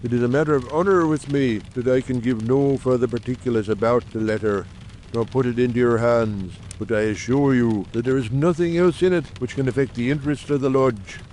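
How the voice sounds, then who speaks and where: A man's voice: medium pitch, a little fast, a little mellow, pitched below the performer's own voice, lightly acted. Earnest conspirator played by a man, noisy backdrop. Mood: grave, urgent. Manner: earnest, persuasive, formal speechmaking. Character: earnest, shrewd, confident lodge brother in coal-town secret society. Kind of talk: audiobook dramatic reading.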